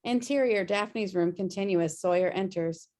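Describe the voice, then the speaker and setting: A woman's voice: medium pitch, normal speed, a little mellow. A woman reading scripted stage directions as narrator of a table read over a video call.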